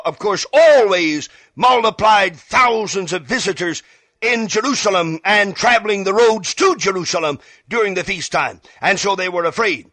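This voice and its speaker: Casting: male gospel radio preacher, high and bright voice, urgent emphatic delivery, repeats key phrases, direct address. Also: no echo. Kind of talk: fervent evangelistic radio sermon.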